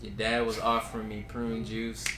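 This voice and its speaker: A man's voice: on the low side, monotone, moderate pace. A man chatting casually in a joking, slangy way, telling personal anecdotes. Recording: very echoey room, music behind.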